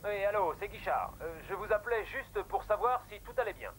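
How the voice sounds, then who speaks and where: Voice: medium pitch, animated, a little fast, noisy background. An actor voicing an insistent, businesslike male client over the telephone in a French cartoon. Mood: pressing. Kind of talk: French animated series dubbing.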